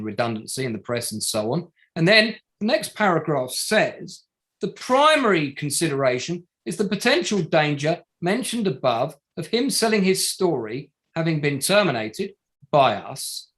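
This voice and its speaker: An actor playing a probing, sardonic barrister at a public inquiry in a play reading, formal and pointed, pressing with rhetorical questions. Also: male voice, on the high side, fairly bright tone. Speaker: actor in an online table read. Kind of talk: courtroom-style cross-examination in a play reading.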